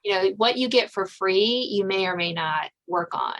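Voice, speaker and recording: A woman's voice: medium pitch, normal speed, a bit bright. Woman hosting a conversational interview podcast. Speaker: podcast host.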